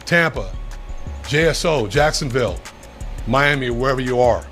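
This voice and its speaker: A man speaks as a law enforcement official making a formal statement. He is stern and emphatic, with a mid-pitched voice. Faint music plays behind him. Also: animated, normal speed, fairly bright tone. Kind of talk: formal public statement, speech.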